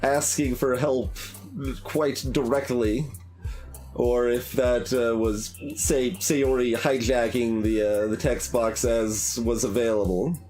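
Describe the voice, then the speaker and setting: A man's voice: medium pitch, fairly even intonation, slow pace, darkish tone, soft background music, low-quality recording. A man streaming a game playthrough, talking casually to viewers in a hesitant, rambling way as he thinks aloud.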